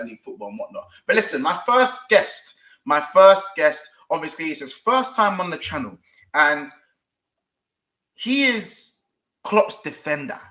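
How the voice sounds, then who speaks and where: A man hosting a casual football show, animated and slangy, often repeating himself. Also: fairly high pitch, reverberant, poor audio. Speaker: host.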